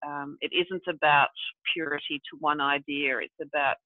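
A woman's voice: sits low, fairly even intonation, moderate pace, no echo. A woman who grew up in Brazil and South Africa, thinking aloud as a guest on a video call, with many fillers. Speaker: guest, regenerative development practitioner. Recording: tinny phone-like dry audio.

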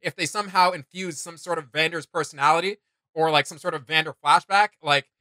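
Enthusiastic man with a high, bright voice. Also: fairly even intonation, a little fast, no room sound. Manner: enthusiastic, emphatic. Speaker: reaction video host. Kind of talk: casual reaction chat. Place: small home room with computer desks, indoors.

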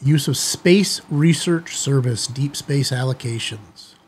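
Husky middle-aged American man, chatty. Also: medium pitch, takes it slowly, a little mellow. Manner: informal, folksy, addresses viewers directly. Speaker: amateur radio video host. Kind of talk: casual chatty commentary to viewers. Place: small indoor studio office, seated at desk.

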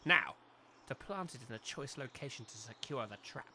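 A man's voice: medium pitch, expressive, moderate pace, background noise, lightly acted. A man playing a pompous, scheming village major with a clipped, theatrical delivery in a comedy audio drama. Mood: scheming mutter.

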